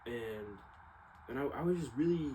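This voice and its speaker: A man's voice: medium pitch, expressive, moderate pace, mellow, a little hiss or hum, low-quality recording. A young man with an American accent, talking casually and reflectively in a rambling personal monologue, often repeating himself. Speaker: vlogger.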